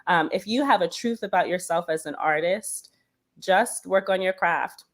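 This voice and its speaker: A Bermudian woman with a Bermudian accent, a Broadway performer talking casually as an interview guest, animated and often searching for words with fillers. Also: lowish voice, normal speed. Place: lives in New York.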